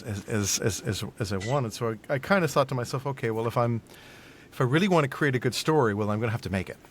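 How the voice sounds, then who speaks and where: A middle-aged man in casual interview chat as a podcast guest, speaking haltingly and repeating his words. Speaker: podcast guest, voice actor and audio engineer. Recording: faint background noise and low rumble.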